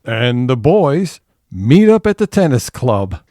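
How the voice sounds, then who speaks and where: A man's voice: medium pitch, big pitch swings, takes it slowly, mellow, no echo, clean studio sound. A man reading scripted narration for an audio comedy serial.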